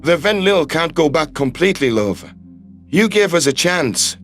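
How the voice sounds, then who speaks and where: A man's voice: on the high side, lively intonation, a little slow, soft background music, pitched below the performer's own voice. A man voicing a devoted, reassuring human astronaut in love in an audio story. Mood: tender, reassuring. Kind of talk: audio story character dialogue.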